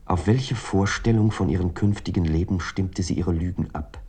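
An actor playing a jealous, brooding lover and narrator in a literary radio drama, speaking in a measured, grave, introspective way. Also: male voice, low-pitched, mellow, slightly rough. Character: jealous, brooding male narrator, tormented lover questioning his girlfriend.

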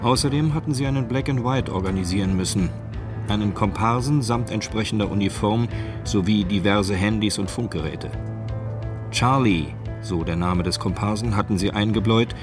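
A man's voice: lowish voice, moderate pace. A man narrating a radio drama in a scripted, literary storytelling style. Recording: music behind the voice. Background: music.

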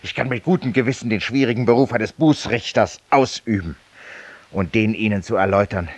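An actor playing a feverish, weary, self-styled judge-penitent in a 1950s radio drama, confiding ironically and theatrically in a silent listener. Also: male voice, lowish voice, a little fast, dry recording. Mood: triumphant, ironic. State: feverish and tired, with coughs.

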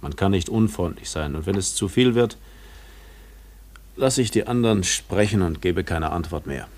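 Deep-voiced, withdrawn man. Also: moderate pace, darkish tone. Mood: reserved. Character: withdrawn, guarded man avoiding the world. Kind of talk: German radio play acting, literary dialogue.

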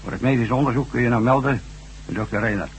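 A gruff overseer with a middle-pitched voice, played by a man, handing out curt orders. He is bossy and commanding in radio drama style. A faint hum sits on the recording. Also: quick pace, natural voice, poor audio. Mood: curt, stern. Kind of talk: Dutch radio drama acting.

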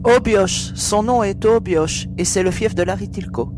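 A woman's voice: medium pitch, normal speed, over music, close to an everyday voice. A woman playing an earnest young female adventurer who cares about her comrades, in an audio serial. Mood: concerned. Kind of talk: acted dialogue in an amateur audio serial. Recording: clear hum and background noise.

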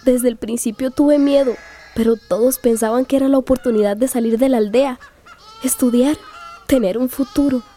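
A woman's voice: high voice, fairly even intonation, moderate pace, dark tone. An actress playing a timid, wounded teenage country girl in a radio drama, plaintive and meek. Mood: sad, recounting.

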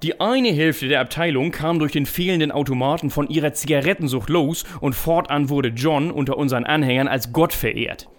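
A man's voice: fairly high pitch, brisk, hardly any pauses, natural voice. An actor playing a solemn, pompous pacifist shaman who speaks in grandiloquent, ceremonial phrases in a comic audio drama. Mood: self-righteous. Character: pompous pacifist office shaman, solemn male former department head.

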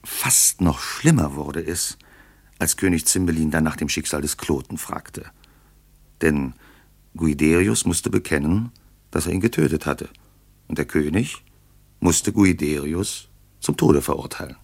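A man with a very deep voice narrates a radio play. He tells the story in a measured, expressive manner, in a dry studio sound. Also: vocal fry, husky, no echo.

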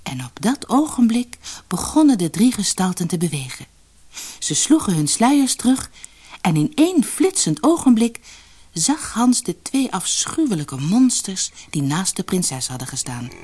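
A woman narrating a fairy tale for an audiobook in an expressive, dramatic, scripted storytelling style. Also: mid-range voice, unhurried, husky.

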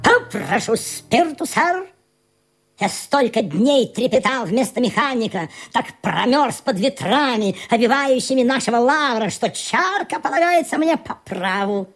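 A woman's voice: fairly high pitch, expressive, a little slow, soft background music. A woman playing an old ship's flag come to life, pleading and self-righteous, in a comic audio drama. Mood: pleading, aggrieved. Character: old ship's flag come alive, shivering, entitled petitioner.

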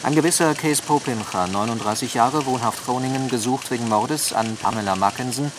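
An actor playing a formal, official-sounding reporter reading bulletins in a German radio play, measured and declarative. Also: male voice, mid-range voice, background noise, lightly acted. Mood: official, clipped.

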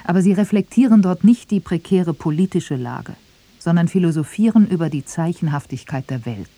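A woman's voice: fairly low pitch, lively intonation, normal speed, dark tone. A woman reading scripted commentary for a radio feature. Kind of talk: scripted narration.

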